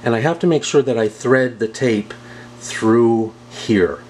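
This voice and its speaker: A man's voice: mid-range voice, measured pace, a little mellow. A man giving a casual, methodical demonstration of equipment as a review host, explaining step by step. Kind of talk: casual product demonstration narration. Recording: clear background noise.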